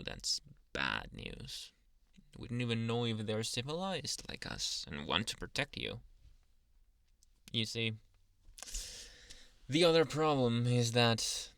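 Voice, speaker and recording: A man playing a protective young adult werewolf suitor in an intimate ASMR boyfriend roleplay, speaking softly and haltingly, sometimes in a whisper, close to the microphone. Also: mid-range voice, gravelly, dry recording, full character voice. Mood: grave, cautioning. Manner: soft, hesitant, halting, intimate delivery drifting into whispers.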